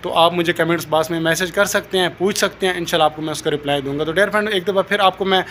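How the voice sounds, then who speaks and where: A man's voice: sits high, steady tone, racing, a bit bright. A young man from South Asia explaining things informally to camera as a vlogger, speaking into a phone held at arm's length. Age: young adult. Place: open rooftop outdoors in daylight. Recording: outdoor ambient noise.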